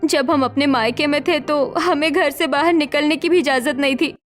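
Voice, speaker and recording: A woman's voice: high-pitched, moderate pace, faint music behind, close to an everyday voice. A woman voicing an anxious, apologetic young daughter-in-law in a cartoon story, earnest and pleading. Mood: remorseful, explaining.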